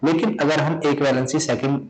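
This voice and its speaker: A man from India teaching a chemistry lesson in Hindi. He has a sore throat and clears it often. He keeps asking questions and answering them himself, and repeats each point. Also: fairly high pitch, fairly even intonation, normal speed, poor audio. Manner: repetitive, asks and answers own questions. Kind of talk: explaining a lesson.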